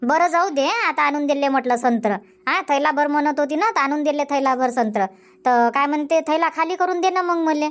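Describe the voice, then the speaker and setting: A woman's voice: sits high, normal speed, lightly acted. A woman voicing a bossy, chatty village farm woman in a cartoon comedy, insistent and scolding, with a Varhadi Marathi accent from Vidarbha. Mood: matter-of-fact, pressing. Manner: insistent, scolding, rhetorical questioning.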